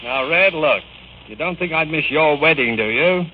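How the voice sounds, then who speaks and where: An actor playing a suave, roguish ex-husband who banters with wry mock politeness in a 1940s-style radio comedy. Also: male voice, a little high, expressive, normal speed, lightly acted. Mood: breezy, charming. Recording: noisy, muffled vintage radio recording.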